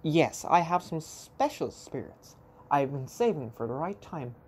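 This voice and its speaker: A woman's voice: deep voice, expressive, unhurried, a little mellow, slight background noise. A woman playing a polite, mild young immigrant man in a radio play. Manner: courteous, mild.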